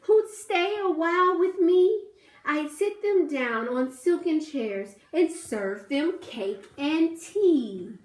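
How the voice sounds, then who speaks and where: A woman's voice: high voice, expressive, takes it slowly, roomy sound. A woman reading aloud and voicing a lonely, wistful female spider who begs insects to stay for tea. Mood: wistful pleading.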